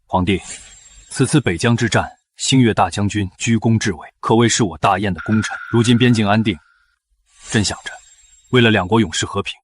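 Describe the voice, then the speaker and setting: An actor playing a shrewd, magnanimous emperor in a historical drama, speaking in a stately, measured way. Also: male voice, on the low side, breathy edge. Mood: stately, sly. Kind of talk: historical short-drama acting.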